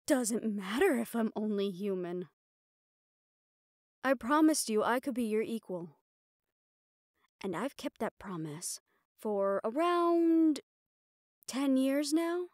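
A woman's voice: on the high side, expressive, slow pace, long pauses, darkish tone, no echo, studio quality. A woman playing a mafia boss's proud, frustrated personal assistant in an intimate roleplay audio drama, with an exasperated, self-reproachful manner. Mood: self-blaming, frustrated.

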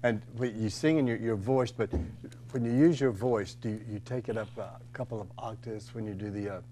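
A man's voice: fairly low pitch, brisk, dark tone. An older man hosting a campy talk show, chatty and teasing, speaking into a desk mic in a small studio with a faint hum.

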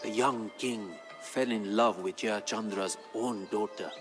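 Music plays behind an actor as a male court poet with a low voice. He recounts his king's legend for a strategy game as dramatic narration, solemn and measured, with grave weight on his phrases. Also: steady tone, natural voice. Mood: reverent storytelling. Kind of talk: dramatic scripted storytelling narration. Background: background music.

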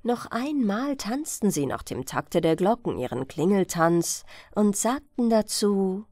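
A woman reads a fairy tale as an audiobook narrator. Her delivery is unhurried and very melodic, with an expressive storyteller's phrasing. The recording is dry and close. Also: medium pitch, no echo.

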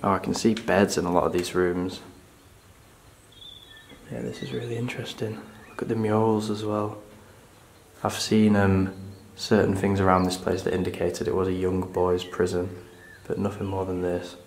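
British man, very low voice, flat delivery, echoey empty rooms, faint hiss. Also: normal speed, vocal fry. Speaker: urban explorer host. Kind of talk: exploring walkthrough with scripted narration. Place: abandoned building, indoors.

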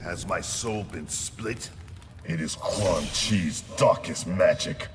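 An actor playing a stern, brooding ninja clan leader in a fighting game, speaking tersely and gravely. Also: male voice, deep voice, takes it slowly. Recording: long reverb, clear noise behind.

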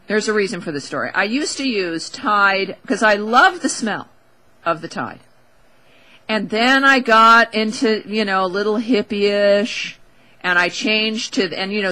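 A woman's voice: medium pitch, lively intonation, a little slow, pushed and bright. A woman hosting a talk show, giving a casual, opinionated monologue in a wry, emphatic, conversational way into a close studio microphone.

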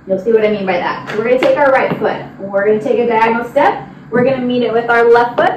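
Casting: young female dance instructor, high voice, counts steps aloud, recorded at a distance in a studio. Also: fast talker. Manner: directive, demonstrating while moving. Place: large indoor dance studio with wooden floor. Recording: roomy, distant mic with noise.

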